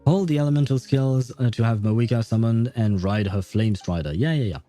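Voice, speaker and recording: A man's voice: medium pitch, fairly quick, dark tone, soft background music. A man livestreaming a video game, chatting casually with viewers in rambling, self-deprecating banter. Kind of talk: casual livestream chat while gaming.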